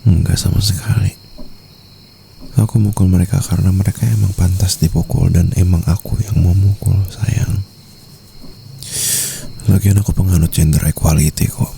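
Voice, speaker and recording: A very gravelly, low-pitched Indonesian mafia boss , played by a man, murmurs to his lover in an ASMR roleplay. He is tender but possessive, teasing and soothing. Faint noise of a night with crickets sits behind him. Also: flat delivery, normal speed, long pauses, dark tone. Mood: firm, protective. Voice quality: deep, gravelly. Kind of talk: ASMR roleplay, intimate boyfriend monologue.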